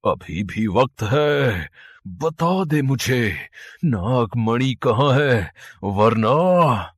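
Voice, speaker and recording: Cruel, husky serpent villain. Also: male voice, medium pitch, expressive, dry recording, exaggerated voice. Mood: menacing. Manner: slow, drawn-out, taunting threats. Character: wicked male serpent-clan villain, cruel, menacing, gloating.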